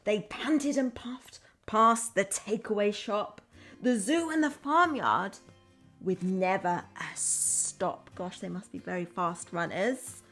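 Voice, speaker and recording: Female storyteller, primary-teacher style: unhurried, expressive read-aloud with simple asides for little kids, soft music underneath. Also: mid-range voice. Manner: expressive, addresses young children simply.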